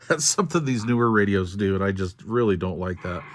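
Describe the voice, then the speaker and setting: An older man with an American accent and a fairly low voice. He talks through hands-on repair work casually and without hurry, thinking aloud in a plain, folksy way. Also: animated, moderate pace. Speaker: radio repair video host.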